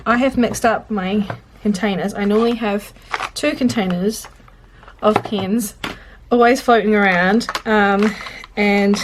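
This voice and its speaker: A woman who is a bit congested chats in a rambling way while she fills in a journal, stopping to correct herself and talk to herself as she works. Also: medium pitch. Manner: rambling, self-interrupting, talks to herself while working. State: congested, sniffly. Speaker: journaling vlogger. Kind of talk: chatty real-time journaling commentary. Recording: faint clicking and background noise.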